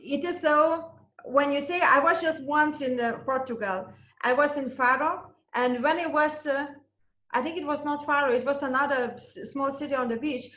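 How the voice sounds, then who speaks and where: A woman's voice: sits high, normal speed, bright, forceful tone. A woman from Lithuania, with Lithuanian as her first language, hosting an interview and asking questions in a rambling, non-native way. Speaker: interview host. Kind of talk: conversational interview. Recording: roomy, muffled video call audio.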